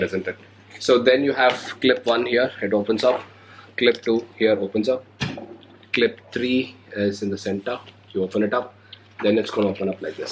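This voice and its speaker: Young indian guy with a cold doing an unboxing vlog, lowish voice, lots of uhs, bit of hiss. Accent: Indian English. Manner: hesitant, many fillers. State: recovering from a cold. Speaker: motovlogger. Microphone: handheld recorder or camera mic.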